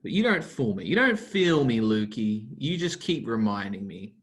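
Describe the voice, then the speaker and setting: A man's voice: on the low side, big pitch swings, normal speed. A sneering bitter young man. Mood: hostile. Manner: mocking, contemptuous. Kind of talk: broadcast drama acting.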